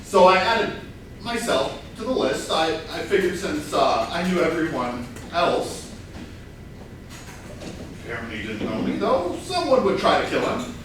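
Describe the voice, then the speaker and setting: A man's voice: fairly high pitch, very animated, a little slow. A man playing an aggrieved, scheming party crasher in a comic whodunit, hesitant yet indignant, at a staged reading. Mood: aggrieved, confessing. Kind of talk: staged comedy play reading. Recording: echoey hall, far mic, faint noise.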